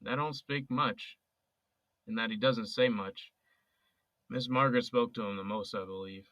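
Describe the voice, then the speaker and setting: A man's voice: medium pitch, normal speed, sharp tone, dry recording, close to an everyday voice. An actor playing a sincere young male police officer who talks casually and earnestly with his best friend. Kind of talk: audio drama acting. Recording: dull, dry, lo-fi recording.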